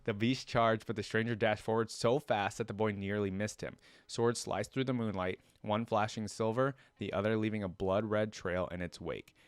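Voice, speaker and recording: A man's voice: fairly low pitch, fairly even intonation, moderate pace, hardly any pauses, clear, resonant tone, dry recording. A man hosting a reaction video, reading a fantasy story aloud and breaking off for casual comments.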